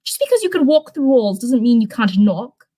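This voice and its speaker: A woman voicing an earnest, expressive teenage girl in a read-aloud story. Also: on the high side, normal speed, pitched above the performer's own voice. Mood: exasperated. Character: bubbly, earnest teenage girl who loves hugs and plants. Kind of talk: storybook character voice.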